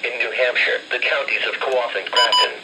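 A man reading a formal, measured, impersonal public service announcement, heard through a weather radio speaker. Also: medium pitch, huge pitch swings, far from the mic. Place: played from small weather radios in a home room. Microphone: handheld camera moved among radios. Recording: noisy radio playback in echoey room.

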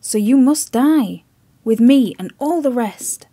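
A woman voicing a terrified young sailor in a spoken story, pleading loudly and desperately. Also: on the high side, animated, slow, no echo, pitched above the performer's own voice, heavily acted. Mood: grim warning. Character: terrified young sailor driven half mad, male.

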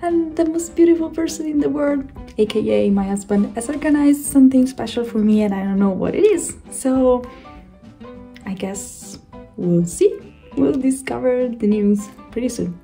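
A cheerful woman with an Italian accent talks to the camera at home. Her voice sits high but sounds very dark and mellow. Soft music plays underneath. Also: lively intonation, moderate pace. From Italy. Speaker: vlog co-host. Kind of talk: casual vlog talk to camera. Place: indoors at home.

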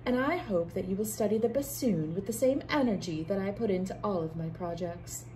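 A woman voicing a proud, bossy, energetic aunt from a children's storybook, with an emphatic way of talking. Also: medium pitch, fairly quick, a little hiss or hum, close to an everyday voice, low-quality recording.

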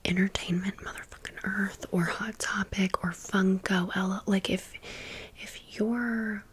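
A woman's voice: medium pitch, steady tone, a little slow, breathy edge. A young adult American woman whispering a casual ASMR storytime close to the microphone, rambling with sassy, emphatic asides. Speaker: ASMR video host.

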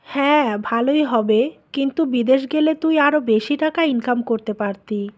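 A woman's voice: sits high, moderate pace, darkish tone, close to an everyday voice. A woman voicing a greedy, cold-hearted, scheming mother of a grown son in a cartoon drama. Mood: casual, probing. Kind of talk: dubbed cartoon drama dialogue.

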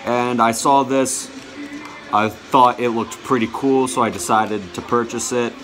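A young man giving a casual show-and-tell to camera, halting and often correcting himself. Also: mid-range voice, fairly even intonation, over music. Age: young adult. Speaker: vlogger showing a music collection. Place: small bedroom, indoors.

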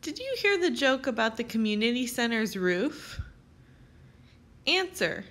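Slow, lively woman reading to children. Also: fairly high pitch. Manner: expressive, carefully phrased, addressing young children. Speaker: teacher reading aloud. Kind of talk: children's read-aloud narration.

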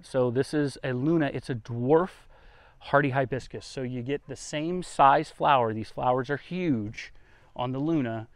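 A man's voice: mid-range voice, a little slow, dry recording. A man hosting a garden tour outdoors, talking in a folksy, conversational way as he explains things casually. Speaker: gardening vlogger, host.

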